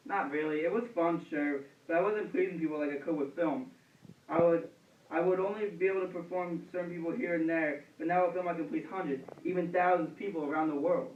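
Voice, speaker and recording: A man's voice: a little high, steady tone, fairly quick, phone-quality sound, slight background noise, lightly acted. A young man playing a cheerful, self-assured 1920s film star in a scripted radio interview. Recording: echoey room, distant mic, dulled.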